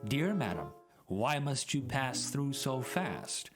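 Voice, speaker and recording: Man acting a big ugly lizard man, storybook villain, pompous, archaic, declamatory, wildly swinging pitch, music behind. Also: big pitch swings, slow pace. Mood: courtly.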